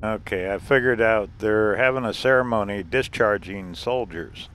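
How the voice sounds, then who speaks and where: A man's voice: on the low side, normal speed, fairly bright tone. A man narrating a casual travel vlog to the camera, chatty and chuckling often, recorded outdoors and in his car on a camera's built-in mic. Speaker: RV travel vlogger.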